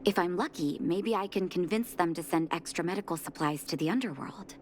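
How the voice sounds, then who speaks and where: Casting: a woman playing a calm, caring game doctor, lowish voice. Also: a little fast, slight background noise, lightly acted. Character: gentle, composed adult woman doctor. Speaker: game character.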